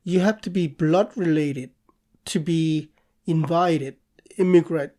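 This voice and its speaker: A middle-aged man from South Korea with a Korean accent, answering interview questions in a halting way, with pauses. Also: a little high, dark tone. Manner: slow, halting, with pauses and restarts. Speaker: guest artist and professor.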